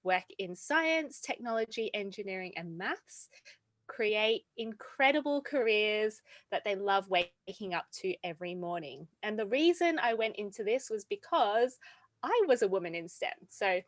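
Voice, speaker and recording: A woman with an Australian accent, a career coach, introducing herself on a video-call panel in an upbeat, energetic way. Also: medium pitch, unhurried, no room sound, clean studio sound.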